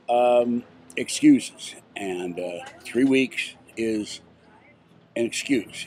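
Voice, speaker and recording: A man's voice: mid-range voice, darkish tone, slight background noise. An older man from Pittsburgh telling a rambling, indignant story straight to camera in a casual video blog. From Pittsburgh, USA. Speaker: vlogger. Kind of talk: casual vlog rant.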